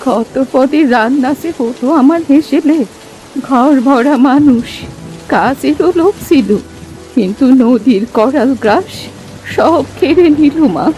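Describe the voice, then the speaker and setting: Woman voicing lonely old village woman, very high voice, wistful, grateful, motherly, cartoon folk tale, faint music behind. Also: slow pace, dark tone, rough recording. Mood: wistful, sorrowful.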